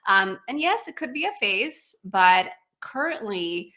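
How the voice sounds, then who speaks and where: A woman's voice: medium pitch, very animated, a little slow, pushed and bright. A woman from Poland in her thirties, a feeding specialist chatting as a guest with frequent fillers and restarts. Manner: chatty, many fillers and restarts, laughs easily. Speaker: guest, pediatric speech-language pathologist. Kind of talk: casual interview answers.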